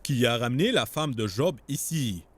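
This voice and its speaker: Stern husband with very animated intonation. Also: male voice, mid-range voice, normal speed, breathy edge. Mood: stern, reproachful. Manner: accusatory, questioning, commanding. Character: stern, suspicious husband scolding his wife. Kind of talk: dubbed religious cartoon acting.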